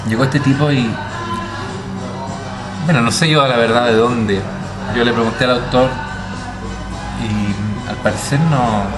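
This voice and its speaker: A man's voice: mid-range voice, low-quality recording. Man telling a story, keeps restarting his sentences, big music playing under him. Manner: hesitant, full of restarts and repeated words. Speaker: book editor. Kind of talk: storytelling monologue.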